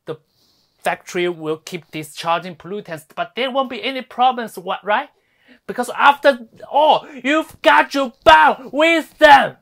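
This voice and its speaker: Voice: mid-range voice, expressive, normal speed, natural voice. An actor playing a sardonic, fiery lawyer in a theatrical monologue, scolding with scathing rhetorical questions. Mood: mocking outburst. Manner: scathing, rhetorical, swinging from hushed to ranting. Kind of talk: theatrical dramatic monologue performance.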